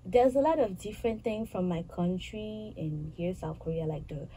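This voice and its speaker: A young woman from Haiti in her twenties, speaking as an interview guest. Her voice is mellow and soft-edged, and she talks earnestly, pausing and restarting her phrases. Faint background noise sits under the recording. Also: fairly low pitch, low-quality recording. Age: 20s. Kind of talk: casual interview answers.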